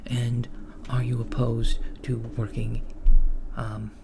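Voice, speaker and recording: A mild, polite recruiter for a villainous company , played by a man in an ASMR roleplay. He speaks softly and hesitantly at a middle pitch with plenty of vocal fry, staying matter-of-fact about henchman work. Keyboard typing and clear background noise sit behind the voice. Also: flat delivery, takes it slowly. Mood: polite, businesslike. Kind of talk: ASMR roleplay, job interview.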